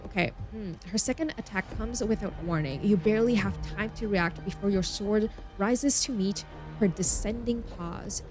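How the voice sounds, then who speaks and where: A woman's voice: mid-range voice, darkish tone. A woman streaming a game, reading story text aloud expressively and mixing in casual, chatty asides. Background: game music.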